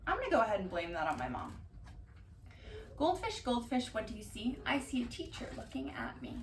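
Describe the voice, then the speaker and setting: A woman doing a casual ASMR-style storytime, reading aloud and drifting into dry, deadpan asides. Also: fairly high pitch, brisk. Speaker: cat vlogger reading bedtime picture books aloud. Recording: distant mic, roomy background noise.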